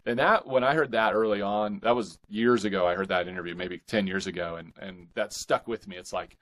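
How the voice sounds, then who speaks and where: A man with a low-pitched voice talks as a guest author in a relaxed interview. He is earnest and reflective, feeling his way through thoughts in halting phrases and doubling back on words. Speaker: guest, indie fiction author. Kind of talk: casual interview conversation.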